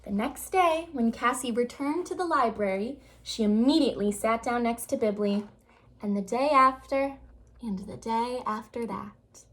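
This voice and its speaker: A woman reading a children's picture book aloud with expressive, dramatic storytelling phrasing. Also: fairly high pitch, measured pace.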